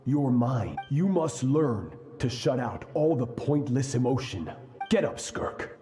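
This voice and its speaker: An actor playing a stern, merciless male master in a video game, commanding and coldly deliberate. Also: mid-range voice, sing-song, dark tone, echoey room, soft background music. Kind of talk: dramatic scripted game dialogue.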